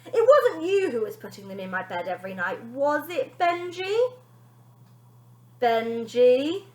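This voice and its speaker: A bossy young storybook girl, acted out by a woman, full of indignation and suspicion. She snaps and sneers in a high, swooping voice in a roomy space. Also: very animated, a little slow. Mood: dark suspicion. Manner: snappy, sneering.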